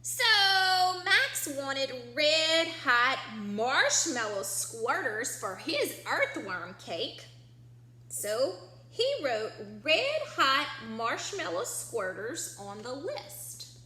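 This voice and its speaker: A woman's voice: sits high, sing-song, very slow, bright, forceful tone. A woman teacher reading a picture book aloud to young children, explaining simply and asking prompting questions. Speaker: early-years teacher. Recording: echoey room with faint background hum.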